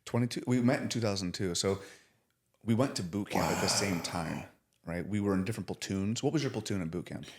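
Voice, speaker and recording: Podcast host, man, 40s, very low voice, earnest and casual. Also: a little fast, slightly rough. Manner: earnest, warm praise with casual slang. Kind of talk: casual interview chat.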